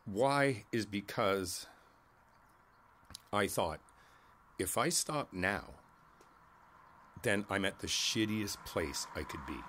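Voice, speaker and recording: A man playing a kindly, world-weary older neighbor in an ASMR roleplay, talking in a halting, reflective, rambling way. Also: lowish voice, lively intonation, a bit bright, slight background noise. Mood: candid, reassuring. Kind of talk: ASMR roleplay, intimate conversational monologue.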